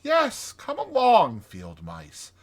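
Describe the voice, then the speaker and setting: A man voicing an eager, fretful, emotional little mole in a storybook, with an excitable, plaintive manner. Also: high voice, takes it slowly, voice pushed up, full character voice.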